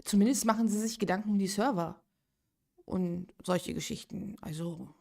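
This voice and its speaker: A woman's voice: medium pitch, moderate pace, vocal fry, darkish tone. A woman in her late thirties chatting casually on a live game stream, thinking aloud and answering viewers' chat. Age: 30s.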